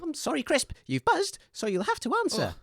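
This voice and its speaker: A woman's voice: sits high, huge pitch swings, fairly quick, heavily acted. A woman playing a flustered, affable radio co-host and quizmaster in an audio comedy. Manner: hesitant, flustered, appeasing. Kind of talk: scripted audio comedy hosting.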